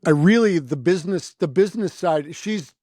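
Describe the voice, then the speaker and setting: A man's voice: sits high, animated, normal speed, mellow, dry recording, clean studio sound. A middle-aged American man hosting a podcast in a chatty, rambling, informal way. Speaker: podcast host. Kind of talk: casual conversational interviewing.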